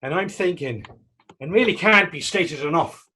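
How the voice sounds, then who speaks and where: Man playing a bright-voiced, hot-blooded rebel. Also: a little high, animated, moderate pace, breathy edge, heavily acted. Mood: bitter, sardonic. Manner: emphatic, indignant. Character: hot-blooded, bitter, one-eyed male Irish republican. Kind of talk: stage readers theater drama.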